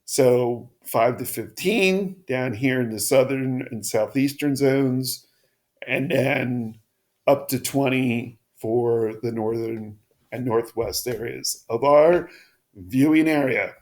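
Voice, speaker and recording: A man giving a casual weather forecast over a map. His voice is froggy and hoarse, and he talks haltingly with many restarts. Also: mid-range voice, darkish tone.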